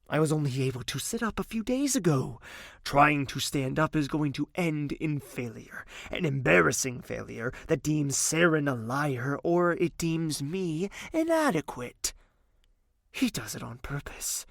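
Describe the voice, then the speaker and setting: An actor playing a wounded young crown prince in an audio drama, proud, bitter and pleading, with formal, articulate speech. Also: male voice, a little high, gravelly, a bit bright, no room sound, clean studio sound, full character voice. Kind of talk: audio drama with inner monologue.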